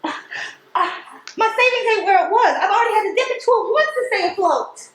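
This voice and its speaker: A woman's voice: extremely high pitch, lively intonation, brisk, slight background noise. An actress on stage playing a strained, guarded middle-class woman supervisor at a post office, defensive and official in manner. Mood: defensive, pleading. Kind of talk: stage play acting. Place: theatre stage. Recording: very echoey stage recording.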